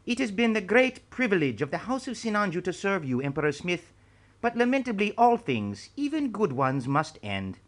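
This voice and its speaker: A man's voice: high-pitched, sing-song, normal speed, pitched above the performer's own voice. A man voicing an ancient male martial arts master who speaks in ornate, courtly phrases. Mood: ceremonious.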